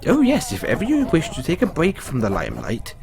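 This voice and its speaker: A man voicing a refined male playwright in a one-sided audio roleplay, speaking in a theatrical, drawn-out way and dropping low on each "dear boy". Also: wildly swooping pitch, creaky, exaggerated voice. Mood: affectionate.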